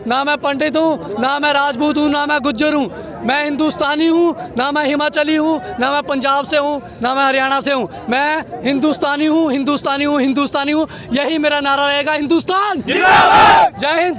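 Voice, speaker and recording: Impassioned young man shouting at a street protest. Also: extremely high pitch, fairly even intonation, moderate pace. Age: young adult. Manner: impassioned, declamatory, shouting slogans. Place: outdoors on a street amid a crowd. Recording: crowd noise outdoors.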